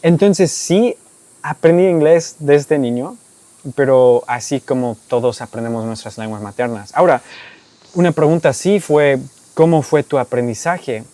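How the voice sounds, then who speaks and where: A young American man from Texas, a native English speaker, speaking Spanish with an American accent. He answers viewer questions in a relaxed storytelling way, with restarts and soft laughs. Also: mid-range voice, unhurried, no echo. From United States (Texas). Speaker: language-learning YouTuber. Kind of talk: casual Q&A monologue to camera.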